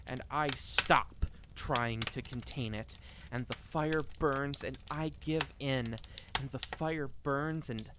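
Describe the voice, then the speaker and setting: A man performing a dramatic monologue reading in a deliberate, repeating cadence with weighted pauses. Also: fairly high pitch, background noise. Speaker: monologue reader.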